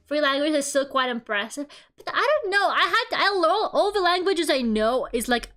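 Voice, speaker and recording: A woman's voice: sits high, fairly bright tone. A woman whose first language is Russian, with a mixed Russian and British accent, chatting casually and self-deprecatingly while drawing on a stream. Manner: chatty, self-deprecating, laughs often, many fillers.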